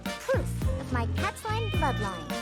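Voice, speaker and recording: A woman's voice: sits high, wildly swooping pitch, slow, heavily acted. An actress voicing a proud young cat-eared girl who works as a bartender, introducing herself. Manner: self-assured introduction. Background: game soundtrack music.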